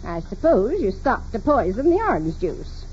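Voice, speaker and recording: A muffled, faintly noisy old radio recording carries an imperious old woman, acted by a woman. Her pitch swoops as she snaps, accuses and sneers at everyone under her roof. It is pure 1940s radio melodrama, sour and commanding. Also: very animated, normal speed, darkish tone, natural voice. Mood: bitter, suspicious. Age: older. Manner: imperious, suspicious, sarcastic, snapping. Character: despotic, bedridden, irascible old woman of wealth. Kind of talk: 1940s radio drama acting.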